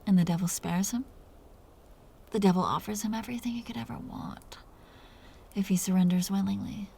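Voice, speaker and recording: A woman voicing a seductive, dominant female vampire captor in an intimate audio roleplay, speaking in a breathy, teasing whisper. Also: medium pitch, slight background noise, natural voice. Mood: musing. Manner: slow, teasing, softly condescending.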